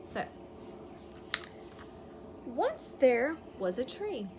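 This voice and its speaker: A woman teacher reading a picture book aloud to young children, pausing to explain words simply and ask questions. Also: sits high, sing-song. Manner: instructive, explains words simply, checks understanding. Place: outdoors. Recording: clearly noisy outdoor ambience, wind chime. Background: birds chirping, wind chime.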